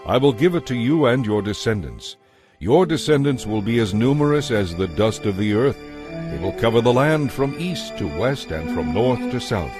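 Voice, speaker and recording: A man's voice: mid-range voice, close to an everyday voice. A man voicing God as a majestic, authoritative deity making solemn promises in a dramatized audio Bible.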